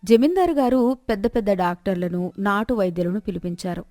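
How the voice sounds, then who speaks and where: A woman's voice: mid-range voice, fairly quick, a little mellow, dry recording, studio quality. Woman narrating a scripted moral story.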